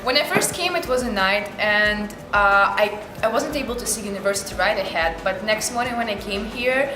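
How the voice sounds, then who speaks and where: A woman's voice: medium pitch, a little fast, roomy sound, over music, low-quality recording. A young woman from Ukraine with a Ukrainian accent, a university student talking earnestly in an interview testimonial. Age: young adult. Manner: earnest, reflective, with frequent "like" fillers.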